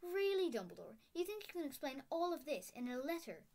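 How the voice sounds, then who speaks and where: Woman reading as stern older lady professor, storybook, higher, tremulous, emphatic. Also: big pitch swings, fairly quick, darkish tone. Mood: outraged. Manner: high, tremulous, emphatic outbursts.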